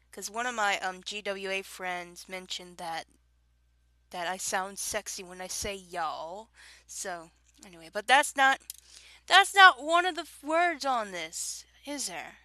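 A young woman with a Southern drawl talks in a loose, rambling way, breaking into giggles and tripping over her own sentences. Her voice is bright and forward, and she speaks very slowly. The recording is close and dry, with no room sound. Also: mid-range voice, lively intonation, no room sound. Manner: giggly and rambling, with many restarts. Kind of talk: casual chat recorded alone.

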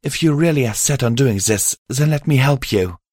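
A caring alicorn mare acted out by a man from a fantasy story, pitched well above his normal speech. The delivery is earnest and pleading, with a close, dry sound. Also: moderate pace, darkish tone, no room sound, close to an everyday voice. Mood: soft, earnest.

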